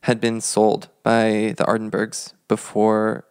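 A man in his thirties co-hosting a podcast, telling history in a measured, reflective, conversational way. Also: fairly low pitch, completely flat delivery, a little mellow, clear, resonant tone, no room sound, clean studio sound. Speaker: podcast co-host.

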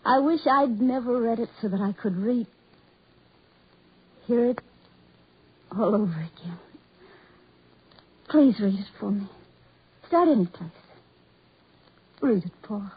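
An actress playing a gentle, trusting young woman in a 1940s radio drama, with an earnest, theatrical delivery and breathy voice. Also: fairly high pitch, animated, takes it slowly, pauses often, exaggerated voice. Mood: eager. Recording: muffled 1940s radio recording.

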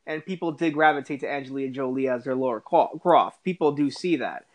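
A man's voice: medium pitch, fairly quick. A man co-hosting a podcast, chatting casually about films. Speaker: podcast co-host.

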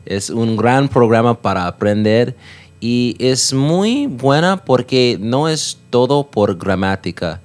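A man with English as his first language talks slowly and unhurriedly in a second language. He pauses often, fills gaps with "am" and "ah", and corrects his own grammar as he goes. His pitch sits in an ordinary middle range. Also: animated. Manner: halting learner's Spanish with many fillers and self-corrections. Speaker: podcast guest. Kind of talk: casual chat.

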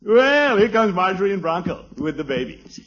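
An actor playing a blustering, genial middle-aged uncle in a radio sitcom, chuckling and easily flustered. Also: male voice, high voice, sing-song, normal speed, fairly bright tone, exaggerated voice. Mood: jovial. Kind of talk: 1950s radio sitcom acting. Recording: muffled, noisy 1950s radio recording.

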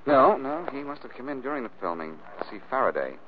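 An actor playing a wry, sharp amateur detective in a 1940s radio drama, musing and questioning as he talks. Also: mid-range voice, big pitch swings, fast talker. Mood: pondering, assured. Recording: muffled, narrow-band old radio recording, faint hiss.

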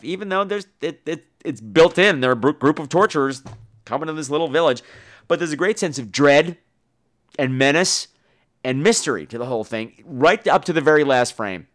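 A man's voice: a little high, a bit bright. A man hosting a show, talking casually and rambling through reviews with theatrical emphasis. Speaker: podcast host. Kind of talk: casual solo review monologue.

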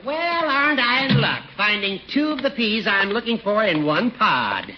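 Older judge, mocking, folksy, lively intonation, dull faintly hissy 1940s radio comedy recording. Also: male voice, high-pitched, normal speed. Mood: amused, mocking. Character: teasing, cackling old judge, genial needler.

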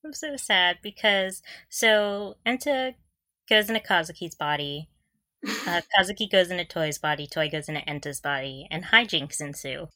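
Giggly, bright-voiced woman with animated pitch. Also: moderate pace, no room sound. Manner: giggly, often breaking into laughter. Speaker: podcast co-host. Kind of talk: casual fan podcast recap.

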